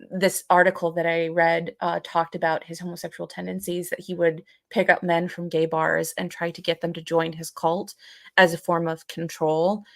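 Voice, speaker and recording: A woman co-hosting a live podcast, talking casually and haltingly as she searches for words and hedges. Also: on the low side, creaky, no echo, studio quality. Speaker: podcast co-host.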